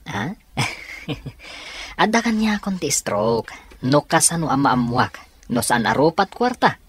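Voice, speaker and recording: A man playing a cocky, skirt-chasing young son in a radio drama, husky, with an Ilocano accent. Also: mid-range voice, sing-song, measured pace, a bit bright, no room sound, full character voice. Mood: leering, cocky.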